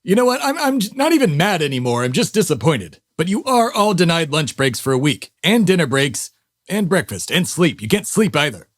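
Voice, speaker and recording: A man playing an immortal figure who embodies America, calmly telling outrageous stories in a matter-of-fact, deadpan way with dramatic pauses in a comedy audio drama. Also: a little high, no room sound, clean studio sound. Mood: exasperated. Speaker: co-host character.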